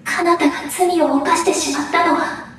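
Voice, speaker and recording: A young woman playing an anguished, devoted, guilt-ridden girl in a Japanese anime game, speaking earnestly and haltingly. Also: very high voice, steady tone, a little hiss or hum, low-quality recording. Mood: anguished, pleading. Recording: game music behind the voice. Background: loud game music.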